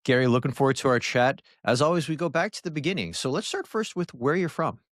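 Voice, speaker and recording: A man's voice: mid-range voice, animated, quick pace, dry recording, clean studio sound. A man hosting a podcast interview, asking friendly, guiding questions. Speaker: podcast host.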